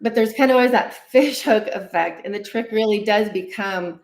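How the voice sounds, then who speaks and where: A woman's voice: medium pitch, moderate pace, darkish tone. A woman guest therapist explains things conversationally and animatedly, acting out inner dialogue and often checking in with "right?". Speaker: guest therapist, licensed clinical social worker. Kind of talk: conversational explaining in a livestream interview. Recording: roomy, echoey sound.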